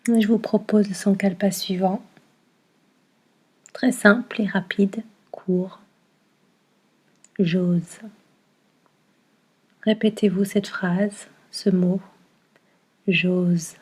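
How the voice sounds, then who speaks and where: A woman leads a guided meditation as a yoga nidra teacher. Her voice is mellow and slightly husky, with little melodic movement. She gives short, soothing instructions and leaves long, calm pauses between them. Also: medium pitch.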